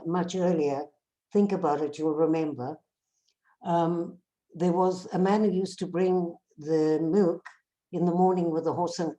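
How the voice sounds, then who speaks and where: A woman's voice: on the low side, warm tone, rough recording. An older woman with a South African accent, telling stories to a class over a video call in a conversational way. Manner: conversational, repeats phrases while telling anecdotes. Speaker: guest speaker. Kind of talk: storytelling talk to a class.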